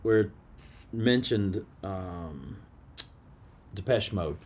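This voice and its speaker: A man chatting casually as a podcast co-host, piecing his thoughts together in halting fragments. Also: sits low, darkish tone. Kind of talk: casual music chat.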